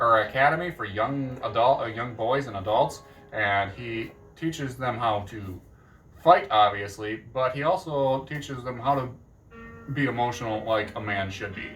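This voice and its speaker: A man chatting casually to camera about books, animated and quick to jump up in excitement. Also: far from the mic, rough recording. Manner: animated, jumps up in pitch with excitement. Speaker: book vlogger.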